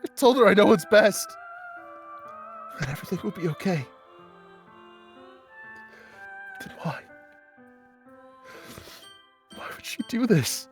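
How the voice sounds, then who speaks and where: A man playing an anguished teenage schoolboy who narrates a visual novel fan dub in a halting, emotionally raw way. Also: high voice, animated, faint music behind. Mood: anguished, self-blaming.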